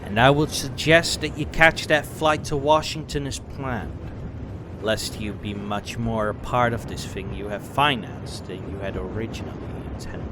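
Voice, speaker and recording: An actor voicing an arrogant, theatrical mad-scientist wizard in a comic-book dub, pompous and menacing. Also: male voice, mid-range voice, normal speed, noisy background, lightly acted. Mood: coldly menacing. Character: arrogant, vengeful male mad-scientist wizard, obsessed with vindication.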